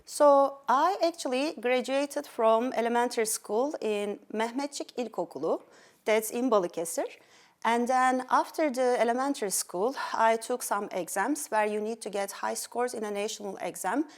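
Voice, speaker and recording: A woman's voice: fairly high pitch, moderate pace. A middle-aged woman from Turkey with a Turkish accent, earnestly telling her life story as an interview guest. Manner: earnest, with fillers and restarts. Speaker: pediatric endocrinologist guest.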